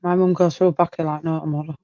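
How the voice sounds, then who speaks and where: A woman's voice: deep voice, level tone, quick pace, dark tone, dry recording, dull audio. A woman with a Yorkshire accent bantering in a cheeky, teasing way on a casual live stream.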